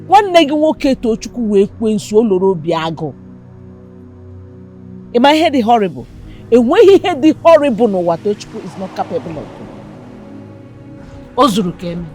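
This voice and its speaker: An actress playing a blunt, scornful mother, talking in a sardonic way. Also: low voice. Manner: sardonic, animated.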